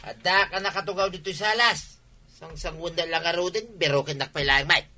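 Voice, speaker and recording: A man's voice: on the high side, fairly even intonation, a little fast, pushed and bright, soft background music. A man playing a weary, grumbling villager in a comedy radio drama.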